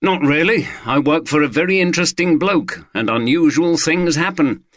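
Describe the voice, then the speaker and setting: A man's voice: a little high, moderate pace, a bit bright, no room sound, clean studio sound, pitched above the performer's own voice, natural voice. Give me a man playing a worn-out young sergeant, casual and plain. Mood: tired. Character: tired young male police sergeant.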